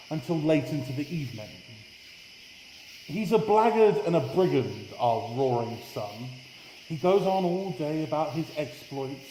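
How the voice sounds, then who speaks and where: A British man performs his own spoken-word poems at a live event, with a theatrical, deliberate storytelling delivery and dramatic emphasis. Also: a little high, darkish tone. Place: live at a festival event in a room. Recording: roomy, echoey live event, background noise.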